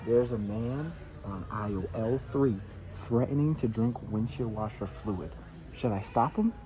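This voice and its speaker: A man's voice: medium pitch, measured pace, warm tone, low-quality recording. A man chatting casually and joking with slang and swearing, as a prank vlogger making mock announcements. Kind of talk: casual prank vlog chat with mock announcements into a walkie-talkie. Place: inside a large retail store.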